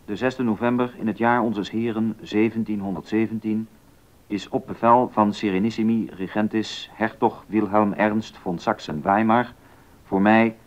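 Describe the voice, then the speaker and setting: An actor playing a stern, officious court secretary in a radio docudrama, solemnly declaiming a formal proclamation. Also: male voice, lowish voice, steady tone, normal speed, darkish tone, natural voice. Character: stern, pompous male court secretary reading an arrest order. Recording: dull, muffled lo-fi recording.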